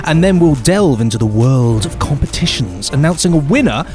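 A man's voice: medium pitch, expressive, normal speed, over music. A man co-hosting a casual comic podcast, rambling playfully with silly asides. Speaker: podcast co-host.

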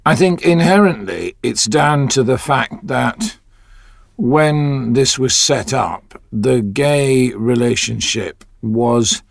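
A man's voice: mid-range voice, takes it slowly, vocal fry, no echo, clean studio sound. A middle-aged man, an actor, talking reflectively in an interview. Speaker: audio drama actor.

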